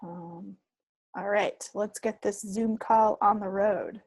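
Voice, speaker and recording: Female podcast co-host, husky voice with fry, wry and understated, casual delivery. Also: medium pitch, steady tone, slow pace, a little mellow, dry recording. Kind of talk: casual chat.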